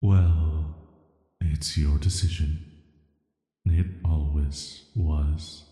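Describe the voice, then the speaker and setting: A man playing a banished, cursed villain in a sleep-aid audio drama, soft and hushed, with a flat, hesitant, measured delivery in atmospheric reverb. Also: very deep voice, full character voice. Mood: evasive, calm. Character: banished cursed male villain, soft, flirty, secretly dangerous dream visitor. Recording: echoing, long reverb.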